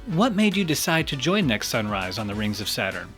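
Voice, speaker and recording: A man hosts a podcast in a playful interview style. He keeps a tongue-in-cheek, mock-earnest tone while his pitch moves in a lively way. Music plays quietly underneath. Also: fairly quick. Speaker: podcast host.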